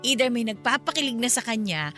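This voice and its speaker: A woman playing a chatty, teasing middle-aged neighbor in a radio drama, with a Filipino accent and an animated, gossipy manner. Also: on the high side, brisk, faint music behind. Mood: curious, teasing.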